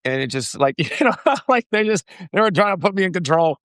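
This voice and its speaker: A young man from the Dominican Republic, a founder being interviewed, talking in an animated way with frequent laughs and restarts. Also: high-pitched, brisk, no room sound, studio quality. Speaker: startup founder, guest. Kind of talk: casual interview chat.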